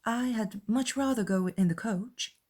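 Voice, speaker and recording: A woman acting out a gentle young lady in a dramatized classic novel, mild and formal in manner. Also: on the high side, a little slow, pitched above the performer's own voice. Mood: mildly hopeful. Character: gentle, polite young lady, eldest daughter.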